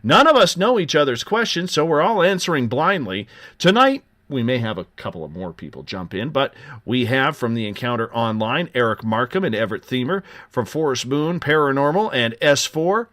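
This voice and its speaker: A man's voice: mid-range voice, big pitch swings, moderate pace, a bit bright, dry recording, clean studio sound. A man hosting a live late-night radio talk show, giving upbeat, joking promotional patter. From Canada.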